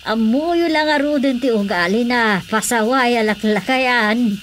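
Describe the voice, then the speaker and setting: A woman's voice: on the high side, measured pace, slight background noise, close to an everyday voice. A woman with an Ilocano Filipino accent, acting a fretful older mother in a radio comedy drama. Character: fretful older mother, fussing over her stubborn husband.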